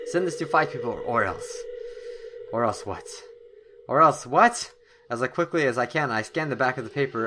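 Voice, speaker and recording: A young man hosting a horror game playthrough, reading the story text aloud in an excitable, dramatic way and stumbling now and then. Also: mid-range voice, background noise. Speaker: gaming video host.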